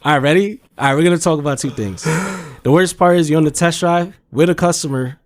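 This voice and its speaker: A man's voice: a little high, brisk. A man speaking as a podcast guest and car salesman, telling casual, slangy anecdotes and acting out quotes.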